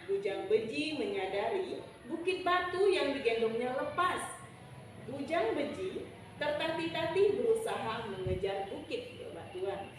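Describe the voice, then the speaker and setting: A woman's voice: high-pitched, animated, a little slow, far from the mic. A woman telling a children's story expressively and prompting listeners with questions. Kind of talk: interactive storytelling to an audience. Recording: echoey room from afar, noisy.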